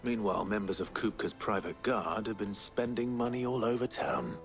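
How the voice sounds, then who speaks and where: An actor playing a brooding, blunt British fantasy hero in a video game, speaking in a terse, serious way. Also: male voice, on the low side, fairly even intonation, moderate pace, soft background music, close to an everyday voice. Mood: stern. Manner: terse, serious, plain-spoken.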